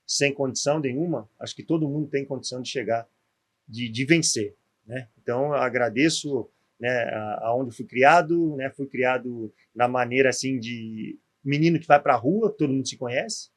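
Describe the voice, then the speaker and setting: A man's voice: mid-range voice, normal speed. A man in his forties with a gaúcho accent from southern Brazil, a former footballer telling his story as a podcast guest, with frequent tag questions. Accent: gaúcho Portuguese. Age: 40s. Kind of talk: podcast interview.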